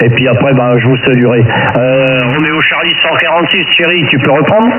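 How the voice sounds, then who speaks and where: A man's voice: mid-range voice, steady tone, fairly quick. A middle-aged or older man from France running an amateur radio exchange casually, reassuring, with frequent tag words. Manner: frequent "hein" tags, reassuring. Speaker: amateur radio net controller. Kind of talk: casual ham radio relay. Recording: muffled, echoey, noisy radio transmission.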